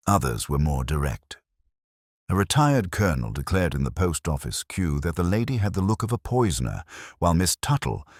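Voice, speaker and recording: A man tells a cozy mystery with wry, unhurried storytelling. His voice is very deep, yet his pitch rises and falls with lively expression. The recording is dry and close, with no room sound. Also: breathy edge, no room sound. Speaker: narrator. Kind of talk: scripted mystery narration.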